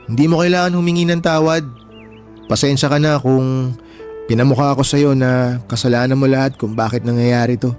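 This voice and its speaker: An actor playing a wounded, bitter younger brother with a Filipino accent in a radio drama, emotional and plaintive. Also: male voice, medium pitch, steady tone, a little fast, darkish tone, close to an everyday voice. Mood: pleading, sorrowful. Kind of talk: Tagalog radio drama acting. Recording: background music throughout.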